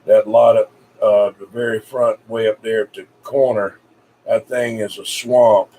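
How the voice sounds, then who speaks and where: Low-voiced Southern man on a distant mic. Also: flat delivery, unhurried, dark tone. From South Carolina, United States. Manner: rambling, folksy. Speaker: CB radio operator. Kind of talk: casual radio chat. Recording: distant mic with background hiss.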